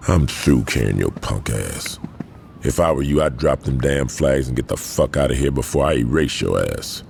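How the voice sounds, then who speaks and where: Casting: menacing American crime boss, world-weary, rock-bottom gravel voice, game cutscene, faint rumble behind. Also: male voice, lively intonation, moderate pace, a little mellow, full character voice. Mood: angry, menacing. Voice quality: gravelly, very deep. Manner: authoritative, menacing, street talk. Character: commanding gang boss, proud, hard, world-weary veteran. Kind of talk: video game cutscene acting.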